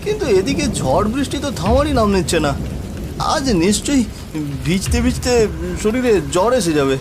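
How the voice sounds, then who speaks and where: A man's voice: sits high, sing-song, brisk, noisy background, pitched above the performer's own voice. A man voicing a frightened village man in a horror cartoon, whimpering and muttering melodramatically, with music, rain and thunder behind him. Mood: uneasy grumbling. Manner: fearful, whimpering, muttering to himself. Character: terrified village man lost in a stormy night.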